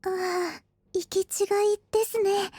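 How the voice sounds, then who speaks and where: A very high, childlike girl's voice, acted by a woman, recorded close and dry. She is a polite young apprentice in a fantasy audio drama, deferential and a little hesitant in her formal speech. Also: fairly even intonation, a little slow, mellow, no echo. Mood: hesitant, curious. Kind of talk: Japanese audio drama acting.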